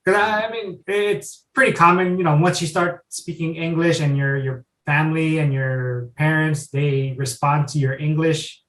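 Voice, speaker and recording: A man whose first language is Tagalog, answering podcast questions in a relaxed, hesitant way with many "um"s. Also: a little high, normal speed, hardly any pauses. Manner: relaxed, thoughtful, hesitant with fillers. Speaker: podcast guest. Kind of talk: casual interview chat. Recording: roomy, echoey room sound.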